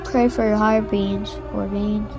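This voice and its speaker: A woman playing a solemn emergency broadcast announcer, speaking gravely and haltingly as she delivers doomsday warnings. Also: medium pitch, flat delivery, lo-fi sound, over loud music, natural voice.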